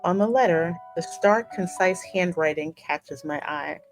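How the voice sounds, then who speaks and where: A woman's voice: on the low side, measured pace, faint music behind. A woman doing game playthrough commentary, switching between reading story text aloud and playful, colloquial chat. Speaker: gaming video co-host reading game dialogue aloud.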